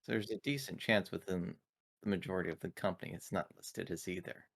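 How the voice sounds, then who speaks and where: Formal, deadpan man with a low, bright voice. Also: quick pace, vocal fry. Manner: formal, precise, deadpan wording. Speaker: tabletop roleplaying player. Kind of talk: in-character roleplay as an android.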